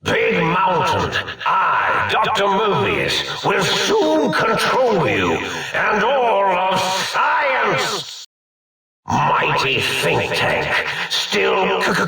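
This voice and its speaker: An actor voicing an eccentric, absent-minded mad scientist in a video game, a comically villainous figure who rants and rambles in a theatrical, grandiose way. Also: male voice, sits high, gravelly, pushed and bright, very echoey, far from the mic, exaggerated voice, rough recording. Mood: villainous ranting.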